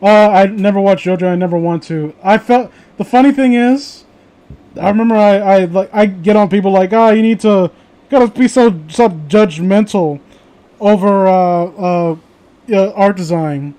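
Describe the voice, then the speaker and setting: A man's voice: high-pitched, normal speed, dark tone. A man chatting casually with friends in a rambling, opinionated, sweary way. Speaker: host of a reading show.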